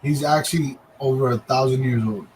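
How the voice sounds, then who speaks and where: A man in his thirties co-hosting a casual livestream, joking and chattering fast with his friends and the chat. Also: medium pitch, a little mellow, a little hiss or hum, poor audio. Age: 30s. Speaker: livestream co-host.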